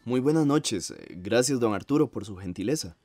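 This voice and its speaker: A man's voice: medium pitch, expressive, normal speed, darkish tone, no room sound, soft background music, lightly acted. A man playing a gracious, modest 1950s composer in a radio theatre interview, with a Costa Rican accent and a formal, reflective manner. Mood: grateful.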